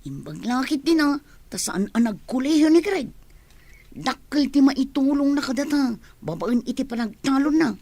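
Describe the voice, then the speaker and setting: A woman's voice: sits high, expressive, moderate pace, a little mellow, no echo. A woman playing an earnest young student daughter in an Ilocano radio drama. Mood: matter-of-fact. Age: young adult.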